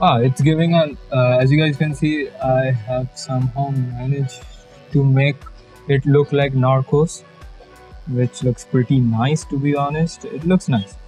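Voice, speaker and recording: Chatty young Indian man with mellow tone. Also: medium pitch, fairly even intonation, a little slow, soft background music, rough recording. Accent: Bengali Indian English. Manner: chatty, jokey asides, many fillers. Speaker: YouTube tutorial host. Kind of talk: casual screen-recorded software tutorial. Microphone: budget external mic.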